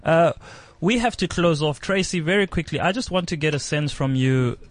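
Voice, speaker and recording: A man's voice: on the high side, dry recording. Man hosting a radio talk show. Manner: brisk, reads items aloud then banters. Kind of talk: talk radio discussion, reading a checklist.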